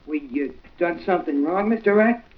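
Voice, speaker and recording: An actor playing a tough young American gang boy with rough, slangy speech in 1940s radio drama style. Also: high-pitched, fairly quick, lightly acted. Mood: deferential. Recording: muffled old 1940s radio recording.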